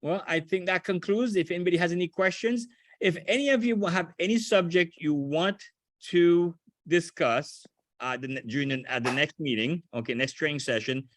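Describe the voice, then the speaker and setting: A man's voice: on the high side, moderate pace, no echo. An older man from Haiti leading a ground school meeting on a video call, talking casually and often restarting his phrases.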